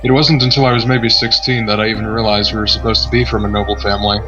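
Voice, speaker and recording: A man's voice: fairly low pitch, level tone, fast, natural voice. A man voicing a proud, bitter young nobleman from a poor family, read formally and solemnly from a visual novel. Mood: solemn, resolute. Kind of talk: dramatic reading of visual novel letter. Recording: dull audio, music clearly behind.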